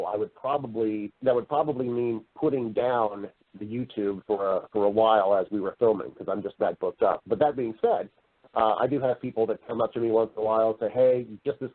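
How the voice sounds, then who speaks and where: A narrow, phone-like connection carries a fairly low male voice. He talks as an interview guest in a casual, conversational way. He is talkative and explains things at length. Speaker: interview guest, voice-over artist and actor.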